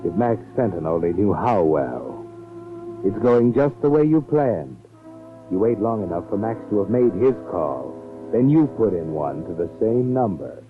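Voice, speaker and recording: An ominous, knowing narrator tells a 1940s radio mystery. His low voice is deliberate and sardonic, on a tinny old recording with music behind it. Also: animated. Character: sardonic, ominous male narrator addressing the schemer.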